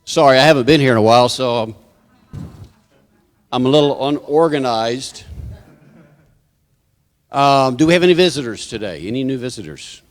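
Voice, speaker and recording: A man's voice: medium pitch, expressive, moderate pace, pauses often. A man giving informal church announcements to a congregation, with folksy joking asides. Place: church sanctuary, live service. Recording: hall reverb from sound system.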